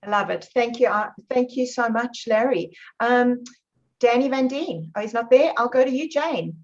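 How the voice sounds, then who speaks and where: A woman hosting a video meeting, calling on people in an upbeat, brisk way. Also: a little high, lively intonation, darkish tone. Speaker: meeting host. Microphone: video call. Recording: dull lo-fi call audio.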